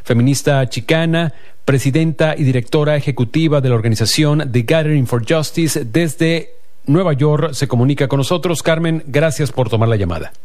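Measured Spanish-language radio host, man. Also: on the high side, a little mellow. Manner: measured, announcer-like delivery. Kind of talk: radio call-in program, scripted intro and interview.

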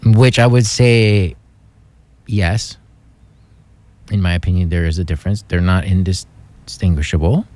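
A man's voice: low-pitched, a little slow, pauses often, a little mellow. A man from Hawaii, thinking aloud in a casual explanatory monologue, hesitant, with many fillers and restarts.

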